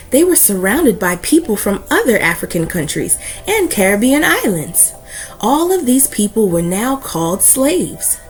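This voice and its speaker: Expressive woman reading a storybook aloud, music behind. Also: mid-range voice, low-quality recording. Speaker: read-aloud host.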